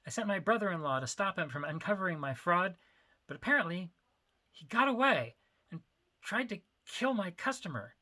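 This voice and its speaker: Man putting on a high, frantic little swindler. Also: sing-song, moderate pace, sharp tone, exaggerated voice. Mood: defensive, nervous. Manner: frantic, blurting. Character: panicky, nervous little male art dealer, cheating schemer. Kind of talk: dramatic story reading.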